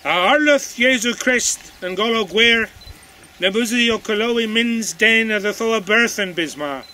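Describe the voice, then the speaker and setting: A man's voice: high voice, bright, forceful tone. An older man giving a solemn, declaimed ceremonial recitation outdoors. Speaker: society president reading a prayer. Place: open hilltop outdoors. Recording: outdoor recording with faint background noise.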